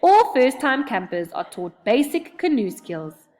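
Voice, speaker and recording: A woman's voice: high voice, big pitch swings, measured pace, a little mellow, big-room sound, pitched above the performer's own voice. A woman voicing a stern, impatient female alien camp counselor in a storybook, curt and no-nonsense. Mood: brisk.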